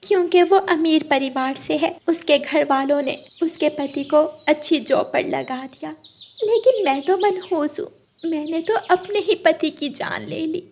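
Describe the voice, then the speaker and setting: A woman voicing a gentle, mistreated young female bird in a children's story, soft-hearted and earnest. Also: high voice, measured pace, slight background noise, pitched above the performer's own voice. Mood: hurt, self-blaming.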